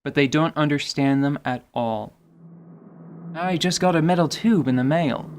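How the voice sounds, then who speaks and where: A man with a voice a little higher than most hosts a podcast about tabletop gaming. His commentary sounds partly scripted, with articulate, wordy phrasing. He slips in wry, playful asides as he goes. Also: moderate pace, a little hiss or hum. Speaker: podcast host.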